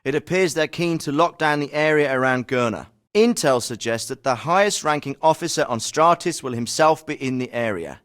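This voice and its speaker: An actor voicing a calm, authoritative male special forces commander, giving military briefings in a measured, clipped way. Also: fairly high pitch, lightly acted. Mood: commanding. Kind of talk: video game voice acting. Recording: clean studio voice recording.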